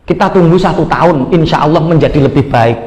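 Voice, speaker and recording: Echoey Javanese-accented male preacher, higher voice. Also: a little fast. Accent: Javanese Indonesian. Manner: folksy, animated storytelling mixing Indonesian with Javanese. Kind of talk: storytelling sermon.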